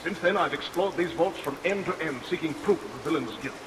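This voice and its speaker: An actor playing an earnest, resolute sailor hero with formal, commanding delivery in a vintage radio melodrama. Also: male voice, a little high, fairly quick, noisy background, natural voice. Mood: stern, earnest. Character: earnest, resolute heroic sailor, wronged man. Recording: distant echoey vintage radio, heavy rain.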